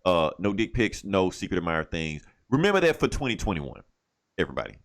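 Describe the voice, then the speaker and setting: A man's voice: low-pitched, wildly swooping pitch, quick pace. A man from the United States hosting a casual podcast, telling stories in a joking, profane way. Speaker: comic book podcast host.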